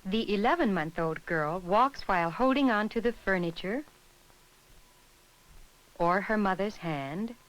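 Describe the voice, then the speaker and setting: A woman reading scripted narration for an educational film in a measured, instructional way. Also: mid-range voice, lively intonation, low-quality recording.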